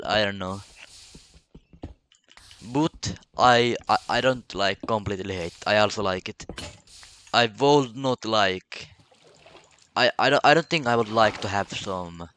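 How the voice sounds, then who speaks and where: A man's voice: lowish voice, bright, forceful tone, slight background noise. A man from Finland with a Finnish accent and Finnish as his first language, talking casually to camera in a solo vlog, stammering and repeating words as he goes. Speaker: vlogger.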